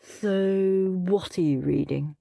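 A woman's voice: lowish voice, slow pace, gravelly, mellow, no echo, exaggerated voice. A woman voicing a naughty, scheming young boy who sneers and snaps at his brother. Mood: sneering. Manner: sneering and bratty.

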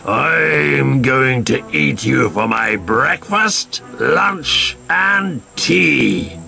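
A man voicing a terrifying, greedy, always-hungry troll in a children's storybook, roaring out his threats with drawn-out menace. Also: mid-range voice, lively intonation, gravelly, bright, forceful tone, faint music behind, full character voice. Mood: menacing, hungry.

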